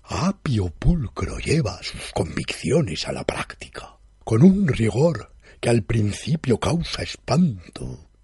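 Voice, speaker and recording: A man reads a literary story as a first-person narrator. His voice is very husky and slow, with lively swings of pitch and a formal, theatrical delivery. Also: dry recording, clean studio sound. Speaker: narrator, reader.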